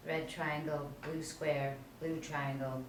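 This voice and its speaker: An actress reads an intense, hesitant woman of about forty in a drama script. Words come very slowly, in an echoey room at some distance from the mic. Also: sits low, little pitch movement, slight background noise. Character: hesitant forty-year-old woman, intense test subject. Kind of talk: table-read drama.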